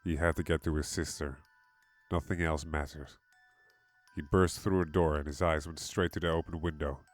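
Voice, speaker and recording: A man's voice: rumbling low, steady tone, moderate pace, dry recording. A man giving a dramatic scripted narration of a horror story. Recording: music behind the voice.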